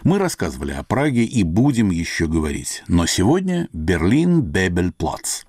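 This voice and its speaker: Deep-voiced man narrating with lively intonation. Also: normal speed, no echo, clean studio sound. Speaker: radio program host. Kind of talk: scripted narration then interviewing.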